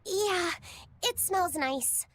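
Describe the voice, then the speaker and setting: Casting: naive, inquisitive girl for a game, tiny childlike voice pitched very high. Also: lively intonation, drawn-out words, a bit bright, exaggerated voice. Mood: innocent, wondering. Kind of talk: scripted video game dialogue.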